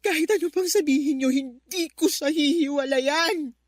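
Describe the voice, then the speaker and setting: A woman's voice: high voice, moderate pace, close to an everyday voice. A woman with a Filipino accent playing an anguished, stubborn wife who pleads emotionally in a radio drama. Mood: stubborn, bitter. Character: anguished, stubborn pregnant wife clinging to unfaithful husband.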